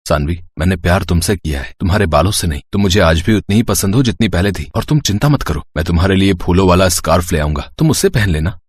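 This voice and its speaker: A man performs a devoted young husband from a cartoon story. His low voice is tender and earnest, recorded with slight noise behind it. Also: animated, fast talker, few pauses. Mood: reassuring. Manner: earnest, reassuring.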